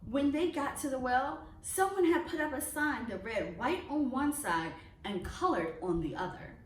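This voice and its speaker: A woman reading a children's picture book aloud as a storyteller, expressively and with deliberate emphasis on phrases. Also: sits high, expressive. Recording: roomy echo, distant mic, faint noise.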